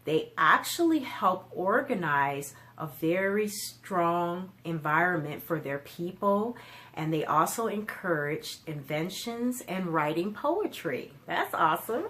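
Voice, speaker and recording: A woman with a slightly low voice presents book reviews as a children's storytime host. She explains things simply and puts easy questions to her young listeners. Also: lively intonation, takes it slowly, a bit bright.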